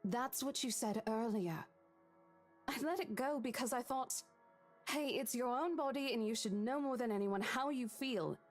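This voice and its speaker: An actress playing a caring young real estate agent who talks in a reassuring, coaxing way in a horror visual novel. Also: female voice, a little high, moderate pace, soft background music, close to an everyday voice.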